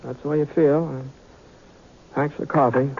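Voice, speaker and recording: Halting, mild cowboy, noisy tinny radio. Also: male voice, fairly high pitch, steady tone, creaky. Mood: resigned. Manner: hesitant, halting, folksy drawn-out phrasing. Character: mild, reasonable drifting cowboy gunslinger hero. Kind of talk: old-time radio western acting.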